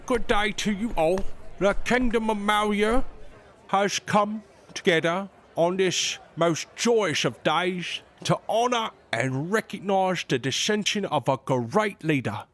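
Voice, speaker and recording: Brief: halting formal royal advisor, high voice, unhurried. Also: male voice, expressive, fairly bright tone. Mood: ceremonial. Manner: halting, formal, clears throat. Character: fussy older male royal advisor, formal. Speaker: royal counselor (maester). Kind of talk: audio drama acting.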